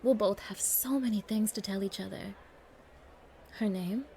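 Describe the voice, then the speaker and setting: Casting: actress as spoiled young gold digger, domineering and condescending, soft dark tone, ASMR roleplay, some background noise. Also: female voice, medium pitch, moderate pace, close to an everyday voice. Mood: smug, casual. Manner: condescending, mocking, bossy. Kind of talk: ASMR roleplay audio acting.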